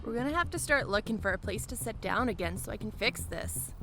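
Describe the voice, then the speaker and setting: A woman playing a brash, sharp-tongued airship pilot in a fantasy audio story, curt and sarcastic. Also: mid-range voice, lively intonation, brisk, music in the background, lightly acted. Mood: grumbling. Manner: curt, sarcastic, shouting orders.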